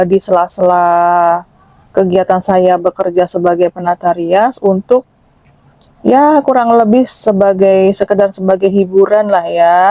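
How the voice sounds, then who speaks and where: A woman with a slightly shrill voice, chatting casually and modestly to her viewers in a voice-over as a makeup artist. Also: warm tone, no room sound. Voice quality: shrill, thin. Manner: self-deprecating, chatty, addresses viewers as friends. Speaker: makeup artist and channel host. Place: dubbed voice-over, dry close recording.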